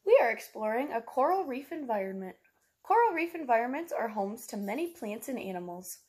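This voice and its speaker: A woman's voice: fairly high pitch, sing-song, brisk, darkish tone. A woman, reading a picture book aloud like a teacher, explaining simply to young children and asking them questions. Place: small room at home, indoors.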